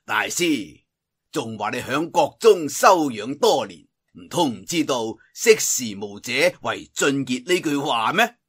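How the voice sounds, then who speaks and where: A man's voice: medium pitch, big pitch swings, measured pace, fairly bright tone, breathy edge, heavily acted. A man with a Cantonese accent voicing an arrogant, mocking martial arts master in a storybook, taunting and imperious. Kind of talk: storybook character voice.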